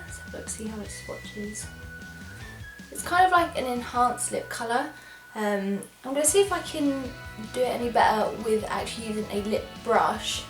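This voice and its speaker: English girl w a stuffy cold voice, chatty and excited, roomy echo, bit of music playing faintly. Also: fairly high pitch. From England. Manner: chatty, enthusiastic, apologetic asides. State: congested from a cold. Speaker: beauty vlogger. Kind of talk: casual product try-on chat.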